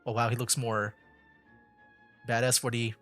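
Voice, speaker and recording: A man streams a video game and comments casually. His mid-pitched voice is reactive and slangy, with faint music in the background. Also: level tone, unhurried.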